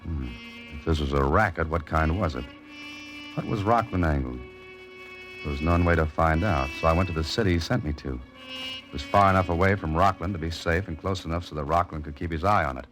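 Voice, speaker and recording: Very deep-voiced hard-boiled investigator. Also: male voice, fairly quick. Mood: brooding, watchful. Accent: American. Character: hard-boiled male investigator narrating, wary and probing. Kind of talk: 1940s radio drama acting, first-person mystery narration. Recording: old radio broadcast with music behind.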